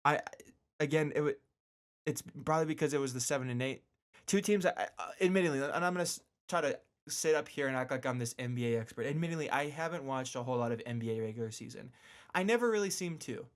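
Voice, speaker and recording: A man hosting a casual solo sports podcast, rambling and animated, repeating phrases for emphasis with joking asides. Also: medium pitch, fast talker.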